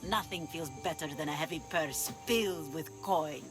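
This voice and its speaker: A woman plays a commanding pirate queen from a video game. She is shrewd and menacing but loyal, speaking with measured, dry authority over a clear music bed. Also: low voice, natural voice. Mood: shrewd, assured. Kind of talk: dramatic video game acting.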